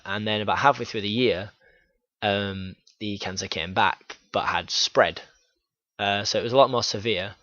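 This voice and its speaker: Young man from Yorkshire, low voice, halting and frank vlog delivery, dry dull lo-fi sound. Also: fairly bright tone, dry recording. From Yorkshire, England. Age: young adult. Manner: halting, filler-heavy, frank. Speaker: YouTuber. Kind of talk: personal vlog storytelling.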